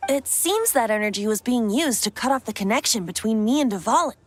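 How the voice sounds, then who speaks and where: A woman plays a playful boy bard from a fantasy game, high-pitched and very melodic in delivery. He speaks with eloquent, theatrical flourish over background music. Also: lively intonation, fairly quick, lightly acted. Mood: explaining, earnest. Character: playful, theatrical young male bard, a wind spirit. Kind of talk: video game voice acting. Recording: music under the voice.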